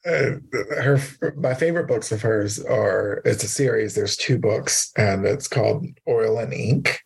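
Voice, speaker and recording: Man from Louisiana, podcast co-host, rough and gravelly, quick to laugh. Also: fairly low pitch. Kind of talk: casual chat.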